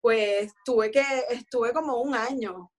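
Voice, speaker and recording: A woman, explaining her routine in a relaxed, conversational way full of fillers. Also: fairly high pitch, takes it slowly. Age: 40s. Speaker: guest. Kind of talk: casual conversation about fitness.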